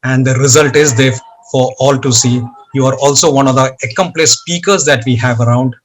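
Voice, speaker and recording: A man's voice: medium pitch, steady tone, fairly quick, a little hiss or hum, rough recording. A man interviewing a guest, asking questions and paying compliments in a courteous, formal way.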